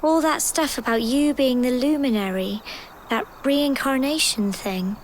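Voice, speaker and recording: An actress playing a gentle, wistful young village girl in a video game, earnest and soft-hearted, with an English accent. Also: sits high, measured pace, slight background noise, poor audio. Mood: nostalgic.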